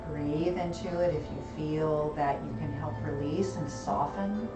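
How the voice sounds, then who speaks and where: A woman teaching a gentle yoga class, calmly cueing breath and movement, her voice a little gravelly and hoarse. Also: sits low, unhurried. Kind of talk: gentle guided yoga instruction. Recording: roomy, distant, music behind.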